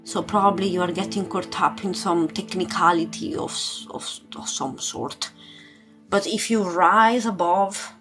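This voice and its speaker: A woman chatting informally as a livestream tarot host, unhurried and pausing, with loose, sweary phrasing. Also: on the low side, fairly bright tone. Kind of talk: casual livestream chat with card readings. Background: music.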